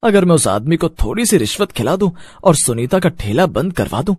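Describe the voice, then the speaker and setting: A man's voice: a little high, big pitch swings, a little fast, a little mellow, slightly rough, no room sound, studio quality. A man voicing a scheming, jealous street-food vendor, the petty villain of a story.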